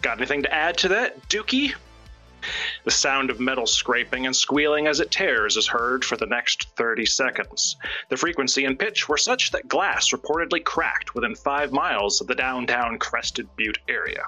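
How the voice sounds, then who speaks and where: Forceful, husky man, irreverent. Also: medium pitch, normal speed, faint music behind, low-quality recording. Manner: joking, irreverent. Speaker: guest reader. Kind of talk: casual chat and dramatic reading on a stream.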